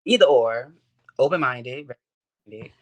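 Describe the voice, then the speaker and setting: A man's voice: medium pitch, expressive, normal speed. A man in his twenties from New Orleans, a guest on a dating show, talking in a laid-back, slangy way that often trails off. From New Orleans, USA. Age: 20s. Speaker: guest contestant. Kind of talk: casual chat.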